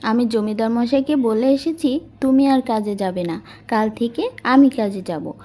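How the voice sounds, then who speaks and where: A woman's voice: fairly high pitch, unhurried, mellow. A woman voicing a devoted, polite but firm young village working girl in a cartoon. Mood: insistent.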